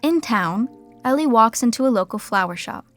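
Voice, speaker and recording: A woman plays the storyteller of an audio sitcom. Her voice is fairly high, with faint music behind it. The scripted narration is wry and conversational. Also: expressive, normal speed, lightly acted.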